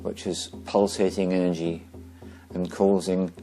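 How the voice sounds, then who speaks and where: A man leading a guided meditation slowly and gently, pausing between short phrases. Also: low voice, level tone, faint music behind.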